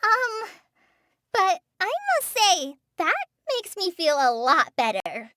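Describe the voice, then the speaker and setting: A woman's voice: extremely high pitch, very animated, exaggerated voice. A woman voicing a shy, earnest teenage girl in a video game, hesitant and apologetic in the way she talks. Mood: amused. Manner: hesitant, apologetic, soft-hearted, halting with stammers. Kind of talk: video game character voice lines.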